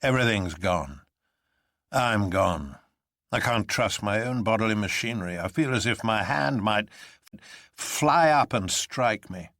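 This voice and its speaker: This is a man acting a professor who has given up hope. He speaks bleakly and deliberately, with an unnerved edge, as in an audiobook adventure. The sound is dry and close. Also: fairly low pitch, lively intonation, a bit bright, dry recording. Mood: despairing. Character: despairing professor, male, resigned and unnerved. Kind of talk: dramatised novel reading.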